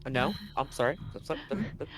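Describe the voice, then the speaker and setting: A man's voice: medium pitch, quick pace, fairly bright tone. A man running a tabletop role-playing game as game master, talking casually to the players over background noise. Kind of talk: tabletop role-play game narration and chat.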